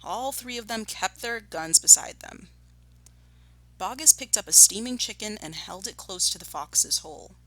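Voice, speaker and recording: A woman teacher reading a storybook aloud and giving lesson instructions in a deliberate, explanatory way. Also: mid-range voice, expressive, bright, forceful tone, no echo, poor audio. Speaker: primary school teacher.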